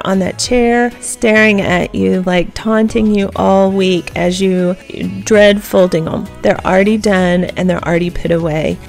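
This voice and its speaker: A woman's voice: medium pitch, vocal fry, a little mellow. Chatty woman giving home tips. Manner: chatty, encouraging, talks straight to viewers. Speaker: home vlogger. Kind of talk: casual voice-over tips.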